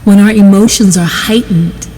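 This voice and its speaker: A woman giving guided meditation instruction in a measured, deliberate way, pausing between short phrases. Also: medium pitch, fairly even intonation, mellow, big-room sound, a little hiss or hum.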